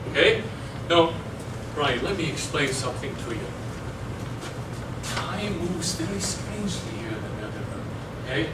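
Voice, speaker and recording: Roomy, high-pitched folksy old ghost played by a man. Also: noisy background. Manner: folksy, unhurried announcer-like introductions. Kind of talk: stage musical theatre dialogue. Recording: roomy distant stage recording, music behind.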